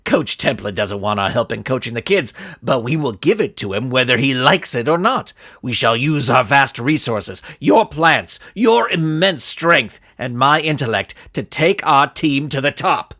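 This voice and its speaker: A man's voice: mid-range voice, lively intonation, unhurried, hardly any pauses, pushed and bright, exaggerated voice. A man voicing a scheming supervillain with a skull head, speaking in pompous, bombastic speeches for a storybook. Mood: gleeful, commanding.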